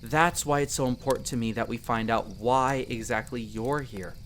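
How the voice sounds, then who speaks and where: Casting: a man to play a young male elf explorer for roleplay audio drama. Friendly, self-deprecating, sincere, medium pitch, speaking one-to-one over outdoor background noise. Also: moderate pace, a bit bright, lightly acted. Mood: earnest, reassuring. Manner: conversational, self-deprecating, earnest.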